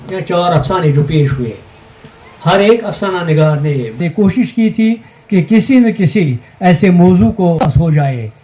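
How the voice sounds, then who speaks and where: A man's voice: sits high, mellow. A man hosting an event, making formal stage announcements. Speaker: event host. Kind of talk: formal stage announcements in Urdu literary gathering. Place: festival hall indoors. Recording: echoey hall over a PA.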